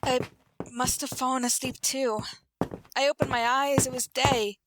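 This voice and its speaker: A woman's voice: sits high, steady tone, a little slow, noisy background. A woman playing an anxious, pleading figure in a 1940s style radio drama, worried and imploring. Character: anxious, pleading woman fretting over a missing boy.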